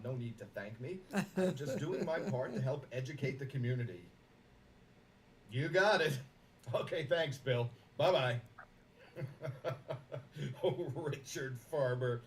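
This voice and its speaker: A man's voice: mid-range voice, animated, slow pace, distant mic, slight background noise. A man playing a scheming, smug businessman who fakes concern with unctuous sincerity in a one-sided phone monologue. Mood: smug, sinister. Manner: unctuous false sincerity, theatrical asides. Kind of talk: dramatic monologue, one side of a phone call.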